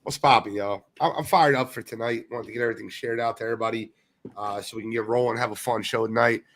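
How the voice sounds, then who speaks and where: A man's voice: mid-range voice, fairly even intonation, quick pace, a bit bright. A man co-hosting a casual sports podcast, blunt and teasing, who sounds congested from a sinus infection. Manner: blunt, swears, ribs the others. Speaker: podcast co-host.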